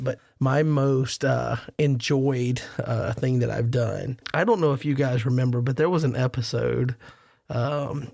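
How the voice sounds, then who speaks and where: A man's voice: mid-range voice, gravelly, a little mellow, dry recording, clean studio sound. A man hosting a casual podcast roundtable, telling stories in a rambling, self-deprecating way. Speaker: podcast host.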